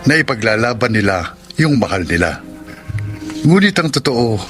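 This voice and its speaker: A man's voice: medium pitch, big pitch swings, moderate pace. A man with a Filipino accent delivering scripted narration as a radio drama host. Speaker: host and announcer. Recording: loud music under the voice.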